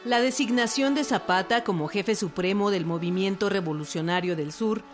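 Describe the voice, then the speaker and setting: A woman's voice: medium pitch, moderate pace. A woman with a Mexican accent reading scripted documentary narration. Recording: music behind the voice. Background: music.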